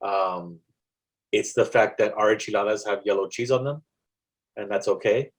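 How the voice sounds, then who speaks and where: A man from South Texas with a South Texas accent, a poet talking about his work in a casual interview, reflective and halting, with many restarts. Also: lowish voice. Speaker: poet guest.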